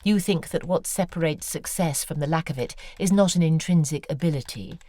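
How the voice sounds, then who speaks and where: An actress voicing a poised, probing woman novelist in an audio drama, speaking in a measured, wry way. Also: low voice, dark tone, no room sound. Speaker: author character.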